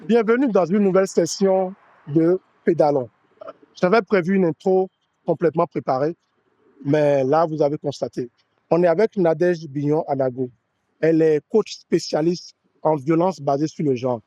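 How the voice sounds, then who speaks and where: High-voiced man hosting, dry muffled sound. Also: mellow, no room sound. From Benin. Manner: curious, friendly, quick interjections. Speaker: show host. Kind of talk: casual interview.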